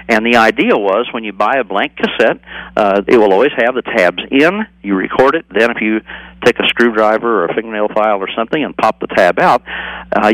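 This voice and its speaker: An older American man giving halting, step-by-step explanations as a guest in an informal interview. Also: lowish voice, fairly bright tone, no room sound, poor audio. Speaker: cassette manufacturer being interviewed.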